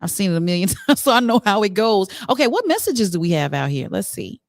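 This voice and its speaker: A woman's voice: medium pitch, very animated, fast, vocal fry, no echo, clean studio sound. A middle-aged woman hosting a live show in a casual, chatty way, talking directly to her viewers. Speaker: talk show host.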